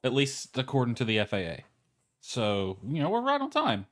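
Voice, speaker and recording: A man's voice: medium pitch, wildly swooping pitch, normal speed, dry recording. A man giving casual live gameplay commentary, rambling and thinking aloud as he goes. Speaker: game streamer. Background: background music.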